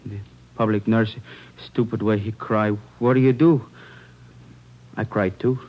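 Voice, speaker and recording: Actor as a low-voiced, earnest, rueful grocer. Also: male voice, steady tone, natural voice. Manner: halting, earnest, plain-spoken. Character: rueful, obliging male grocer in his thirties. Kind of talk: 1940s-50s radio drama acting. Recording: dull old radio recording.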